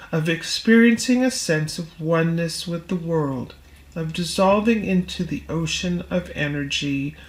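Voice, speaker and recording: Female audiobook reader for spiritual text: unhurried, deliberate, mid-range pitch, recorded in a reverberant room.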